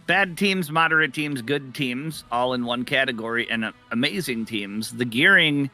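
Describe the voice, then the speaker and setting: Bright-voiced man doing esports play-by-play. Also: mid-range voice, lively intonation, moderate pace. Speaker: esports commentator. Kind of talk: live video game tournament play-by-play and analysis. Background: music.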